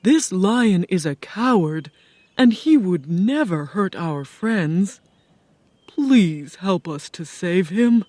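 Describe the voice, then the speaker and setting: A gentle, earnest scarecrow, as a woman reading a storybook puts him on. The voice is mellow and warm, unhurried, and very dry, with no room sound. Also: lowish voice, no room sound. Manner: calm, earnest, slow and persuasive. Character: kindly, gentle male scarecrow.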